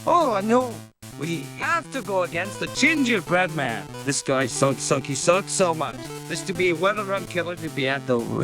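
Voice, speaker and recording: High-pitched man narrating a jokey game tutorial. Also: rough recording. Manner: exaggerated playful internet-slang delivery. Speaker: gaming tutorial host. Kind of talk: jokey slang-filled scripted video game tutorial narration.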